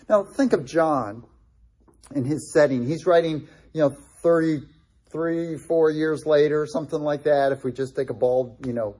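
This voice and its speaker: Mellow-voiced pastor preaching conversationally. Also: male voice, mid-range voice. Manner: conversational, with frequent restarts and asides to the congregation. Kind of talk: sermon.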